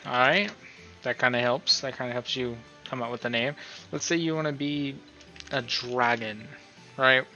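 Guy doing a laid-out-as-he-goes tutorial, bright forward voice, kinda hesitant, lo-fi mic w music behind. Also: medium pitch, moderate pace. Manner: rambling, hesitant, self-deprecating. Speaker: tutorial host. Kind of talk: casual unscripted tutorial narration.

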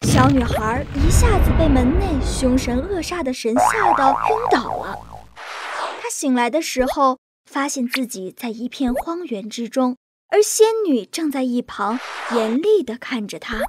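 A woman narrating bedtime fairy tales for young children in a scripted, expressive way. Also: high voice, measured pace, noisy background. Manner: lively, expressive. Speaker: storyteller.

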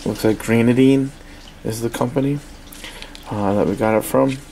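A man giving a casual, halting, offhand unboxing review at a desk in a small indoor workspace. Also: mid-range voice. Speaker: product reviewer. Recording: steady noisy room ambience.

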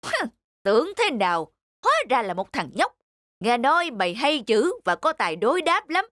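Pompous blustering cartoon bully, animated pitch. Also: male voice, moderate pace, no room sound, studio quality, full character voice. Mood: sneering. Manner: boastful, sneering, blustering. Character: big pompous middle-aged scholar, a hot-tempered bully.

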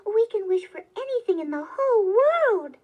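An excitable country wife from a storybook, acted by a woman reader. Her voice is very high and emphatic, and her words come out slowly. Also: narrow, tinny audio. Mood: excited. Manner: exclamatory, emphatic. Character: excitable, scolding woodcutter's wife. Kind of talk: children's storybook character voice.

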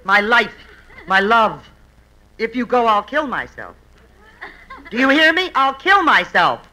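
A woman's voice: a little high, very animated, measured pace, pushed and bright, exaggerated voice, low-quality recording. A woman playing a possessive, theatrical faded film star in an old American radio comedy. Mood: desperate pleading. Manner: theatrical, pleading.

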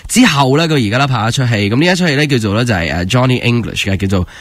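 A man's voice: medium pitch, expressive, very fast, no echo. A man with a Hong Kong Cantonese accent chatting casually as a radio host, in a conversational, loosely phrased way. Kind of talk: casual radio chat, rambling explanation. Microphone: close studio microphone.

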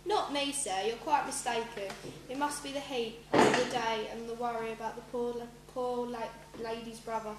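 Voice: mid-range voice, steady tone, normal speed, lightly acted. A school pupil playing a sly, murderous male barber in an amateur radio play. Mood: feigned innocence. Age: child, about 12 to 13. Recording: roomy, loud hum and noise.

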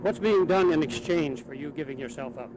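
A man's voice: fairly high pitch, brisk. A man working as a news reporter, asking probing interview questions. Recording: noisy archival newsreel, street sound.

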